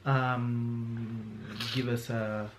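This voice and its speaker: A man speaking slow, halting, as he wraps up a family vlog, repeating himself. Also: medium pitch, flat delivery, background noise. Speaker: vlogger, husband. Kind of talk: casual vlog sign-off.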